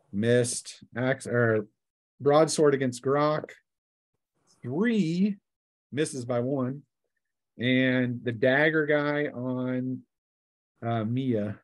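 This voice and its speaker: A man's voice: medium pitch, no echo. A man acting as game master, narrating a tabletop game in plain, colloquial play-by-play.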